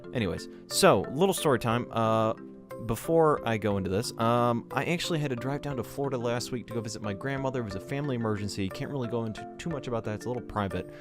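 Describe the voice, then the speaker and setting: A young man from Georgia hosts a gaming channel vlog and talks quickly and excitedly, with a stutter and many fillers. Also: mid-range voice. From Georgia, USA. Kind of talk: casual vlog talk to camera.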